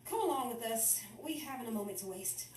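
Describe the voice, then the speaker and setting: Woman playing a high, animated, sassy radio gal. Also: fast talker, lightly acted. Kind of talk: old-time radio comedy acting. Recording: echoey room, distant mic, clear noise.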